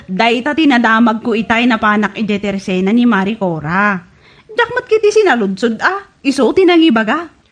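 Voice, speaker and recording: A woman's voice: on the high side, animated, normal speed. A woman playing a nosy, teasing friend who gossips and exclaims in an Ilocano comedy radio drama, with an Ilocano accent.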